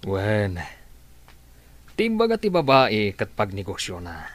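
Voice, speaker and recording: A man playing a friend who gives calm advice, lively voice. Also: on the low side, takes it slowly, no room sound, full character voice. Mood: advising. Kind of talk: radio drama acting.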